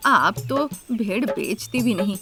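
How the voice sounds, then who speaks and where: A woman's voice: fairly high pitch, animated, unhurried, music in the background. A woman voicing a nervous, evasive male mutton seller who speaks hesitantly and stammers, in a moral story cartoon. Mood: nervous, defensive.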